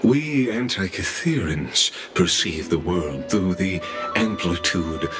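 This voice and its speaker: A deferential mechanical envoy in a video game, with a very deep voice. He speaks slowly and formally, with expressive swings of pitch and measured politeness. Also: full character voice. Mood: courteous, formal. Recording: loud music behind the voice.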